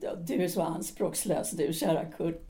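An actress playing a bitter former-actress wife who speaks in cool, sardonic remarks. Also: female voice, lowish voice, fairly even intonation, mellow, natural voice. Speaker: actor in an audio drama.

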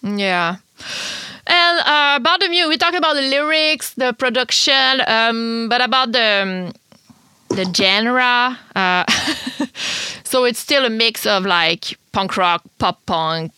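A woman from Quebec hosting a casual interview over a video call, excitable and laughing often. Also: fairly high pitch, slow pace, clean tone, dry recording. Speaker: podcast host.

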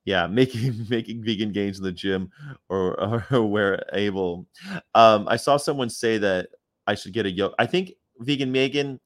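A man's voice: fairly low pitch, no echo, studio quality. Man, casual host chat, fillers and swearing.

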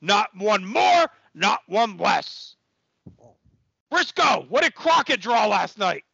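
A brash wrestling tycoon in a comedic audio play. The voice is very high and thick with gravelly creak, swinging wildly as he blusters and shouts. The recording is dry and a little dull. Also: big pitch swings, no room sound, exaggerated voice. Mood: boastful. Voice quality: gravelly, strained growl. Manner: bombastic, abruptly shouting, drawn-out emphasis. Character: brash, boastful, manic wrestling tycoon boss.

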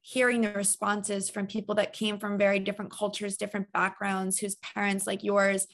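Female interviewer, mid-range voice with noticeable vocal fry, measured and encouraging. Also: medium pitch, little pitch movement, fairly bright tone. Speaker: interviewer, mental health trainer. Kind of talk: conversational interview hosting.

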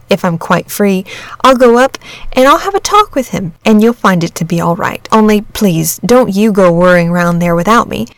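A woman voicing a calm, confident, bookish young boy in a storybook, matter-of-fact and gently patronising. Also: mid-range voice, no echo, close to an everyday voice. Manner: unhurried, matter-of-fact, assured. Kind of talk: storybook character reading.

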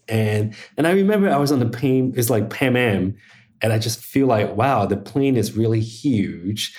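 A man's voice: medium pitch, a little mellow. A middle-aged man from Hong Kong, a guest on an interview podcast, telling a personal story in a casual, chatty way with many fillers. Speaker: interview guest, media executive.

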